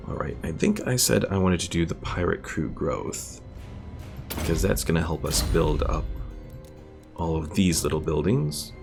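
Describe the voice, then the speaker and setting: Low, gravelly-voiced man streaming a game. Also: expressive, unhurried. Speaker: livestreamer, host of a gaming stream. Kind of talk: casual strategy game commentary, chatting with viewers. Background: game music.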